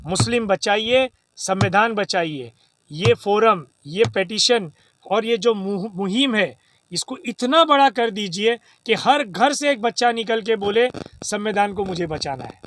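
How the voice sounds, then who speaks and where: A man's voice: high-pitched, lively intonation, measured pace, a bit bright, a little hiss or hum. A man from making an impassioned, exhortative political appeal, emphatic and repetitive, with English words mixed in. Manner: exhortative, emphatic, repeats phrases for effect; mixes English words into speech. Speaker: political activist giving a statement.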